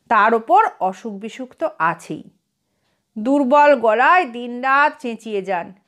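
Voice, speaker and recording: High-pitched, very animated woman storyteller. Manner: animated, acts out characters' lines. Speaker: audio story narrator. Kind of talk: expressive scripted story narration of a comic tale.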